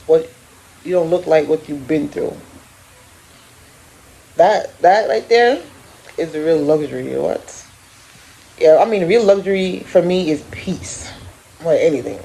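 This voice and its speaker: A woman's voice: low-pitched, creaky, low-quality recording. A woman, chatting casually to her viewers at home. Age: 30s. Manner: chatty and animated, laughs often, talks to viewers directly. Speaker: vlogger. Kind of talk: casual vlog chat to camera. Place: at home in an apartment.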